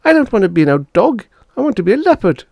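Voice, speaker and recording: A man's voice: high-pitched, sing-song, fast, creaky, a little mellow, no room sound, studio quality, pitched above the performer's own voice, exaggerated voice. A man voicing a bossy, impatient young boy, a scornful big brother in an audiobook story. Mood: disgusted, stubborn. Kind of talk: audiobook character voice.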